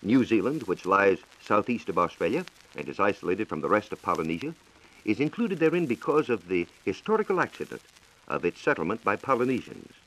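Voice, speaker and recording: A man's voice: fairly low pitch, big pitch swings, fairly quick, a bit bright, rough recording. A man with an American accent giving a formal, explanatory scripted science talk on 1940s radio. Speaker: science reporter.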